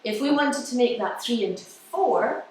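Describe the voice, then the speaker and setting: A woman giving a live explanatory talk as a musician, with halting pauses. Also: on the high side, expressive, a little mellow. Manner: explanatory, halting pauses, counts beats aloud. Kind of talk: live lecture with demonstrations. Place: indoor room with an audience. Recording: distant mic, very echoey room, faint noise.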